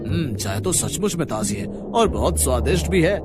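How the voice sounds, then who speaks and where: A man's voice: a little high, very animated, moderate pace, over music. A man voicing a satisfied, chatty customer at a village tea stall in a children's story. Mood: pleased.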